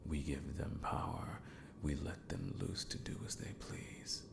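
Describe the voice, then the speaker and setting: A man playing a calm, scheming villain mentor in a scripted ASMR roleplay, courteous yet menacing, with formal, deliberate speech that often sinks to a whisper. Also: full character voice. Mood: secretive muttering. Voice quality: very deep, gravelly. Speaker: villainous mentor training an apprentice.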